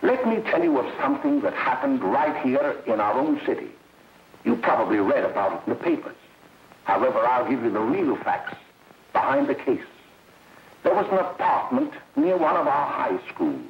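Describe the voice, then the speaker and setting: An actor playing a stern, alarmist doctor giving a grave, formal lecture in a 1930s propaganda film. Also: male voice, medium pitch, sing-song, moderate pace, reverberant. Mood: ominous, emphatic. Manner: grave, emphatic, formal, melodramatic. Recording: scratchy, muffled 1930s film soundtrack.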